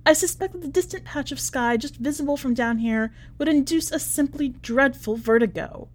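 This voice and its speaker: A woman's voice: sits high, moderate pace, voice pushed up, natural voice. A woman voicing an imprisoned letter writer with brittle genteel cheer and bitter irony, in mannered, over-polite phrasing, for a story reading. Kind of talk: dramatic short story reading.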